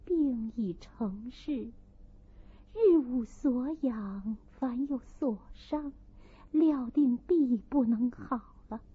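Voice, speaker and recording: A woman voicing a gentle, frail young concubine, tearful and resigned, in an audiobook. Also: fairly high pitch, drawn-out words, slightly rough, full character voice. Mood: despairing. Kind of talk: audiobook character acting. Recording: muffled, thin phone-like sound.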